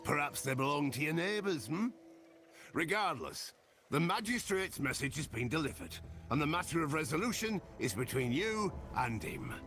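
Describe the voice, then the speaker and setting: A man's voice: on the high side, very animated, moderate pace, faint music behind. A man playing an officious, haughty tax collector in a fantasy game, speaking formally and dismissively. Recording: loud game score behind.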